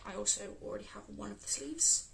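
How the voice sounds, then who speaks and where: A woman with a West Midlands accent, hosting a casual crafting podcast, croaky from a cold and filling pauses with ums. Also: medium pitch, noisy background. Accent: West Midlands English. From England. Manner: chatty, many ums, unhurried.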